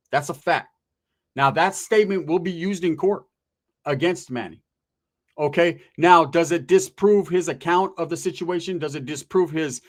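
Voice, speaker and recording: A man with a slightly high voice gives informal online commentary. He speaks straight to his viewers in slangy street talk, pressing points with rhetorical questions and tag questions, and swears casually. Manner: emphatic, punctuated with rhetorical questions and "right?".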